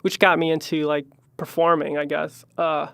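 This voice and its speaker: A young man from Chicago with a high voice, rambling through his story with lots of fillers and little trailing-off phrases. His voice is higher than most men's and has a gravelly fry in it. He is recorded close up in a dry room with no echo. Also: little pitch movement, normal speed, a bit bright. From Chicago, Illinois. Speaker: musician guest. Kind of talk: casual podcast interview.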